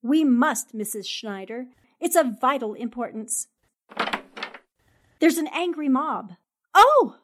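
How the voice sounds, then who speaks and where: A woman playing a bold, high-voiced newspaperwoman. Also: very animated, exaggerated voice. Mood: urgent. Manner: assertive, brisk questioning. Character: bold, forthright newspaperwoman, insistent and unafraid. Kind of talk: 1940s radio drama acting.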